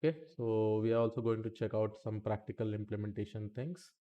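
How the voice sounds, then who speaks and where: A man's voice: on the low side, flat delivery, fairly quick, dull audio. A man teaching statistics in a live-streamed lesson, chatty and talking to the chat between points, saying okay often.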